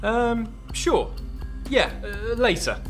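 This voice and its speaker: A man acts a nervous IT security man with something to hide. He is hesitant and flustered, in radio-drama style. Soft music sits behind him. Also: extremely high pitch, very animated, slow pace, exaggerated voice. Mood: evasive unease. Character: evasive, nervous IT security man hiding spy work. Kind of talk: radio thriller drama acting.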